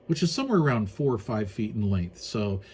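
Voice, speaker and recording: A man's voice: on the low side, big pitch swings, brisk, darkish tone, a little hiss or hum. A man giving a casual, unscripted hands-on product review in a folksy, plain-spoken way with colloquial turns.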